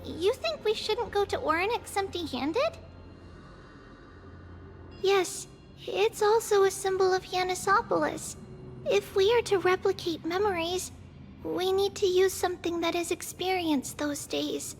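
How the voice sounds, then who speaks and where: Innocent little girl, piping very high and slow, childlike game character over faint music. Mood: earnest explaining. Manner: childlike, simple halting phrases. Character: tiny childlike girl, innocent, timid, speaks in third person. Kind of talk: scripted video game drama acting.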